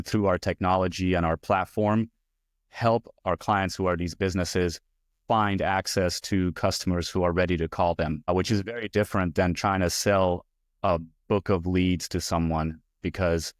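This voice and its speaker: A man from Hungary in his forties, a guest talking through his career in a relaxed, rambling way with lots of fillers. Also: low-pitched, no room sound, clean studio sound. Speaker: guest, VP of user experience. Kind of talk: casual interview talk.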